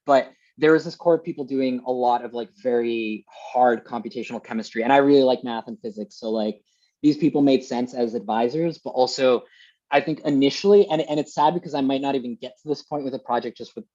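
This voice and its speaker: A young man from Colombia talks through his research on a video call, with frequent ums and restarts. His voice is mid-pitched. The audio is dull and lo-fi. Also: fairly quick, mellow. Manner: explains at length, restarts, many ums. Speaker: presenting Fulbright scholar. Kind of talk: casual academic Q&A.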